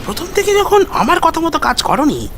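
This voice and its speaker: A man's voice: extremely high pitch, huge pitch swings, normal speed, a bit bright, slight background noise, pitched above the performer's own voice, heavily acted. A man voicing a resentful, fearful conspirator in secret talk in an audio story. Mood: resentful, tense. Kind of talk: Bengali audio story acting. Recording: music behind the voice.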